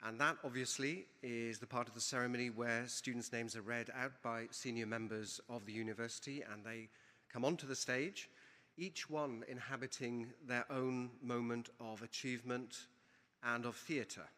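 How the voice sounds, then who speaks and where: Bright-voiced man in a hall. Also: mid-range voice, steady tone, normal speed. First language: Welsh. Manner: playful, theatrical and eloquent, switching between Welsh and English. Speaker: university provost presiding over a graduation. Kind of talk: ceremonial welcome speech. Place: large ceremony hall. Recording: long hall reverb.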